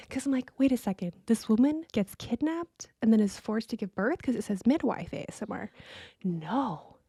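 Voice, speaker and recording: A woman's voice: on the high side, darkish tone, slightly rough, no room sound, clean studio sound. A woman co-hosting a podcast in casual, candid, slangy chat, sometimes dropping into a whisper. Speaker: podcast co-host.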